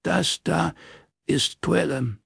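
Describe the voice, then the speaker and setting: A man's voice: on the high side, slow, vocal fry, a bit bright, breathy edge, no echo, clean studio sound, voice pushed up, exaggerated voice. A man voicing a shy, childlike woman in her sixties who talks bluntly in short, simple sentences. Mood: blunt, guileless.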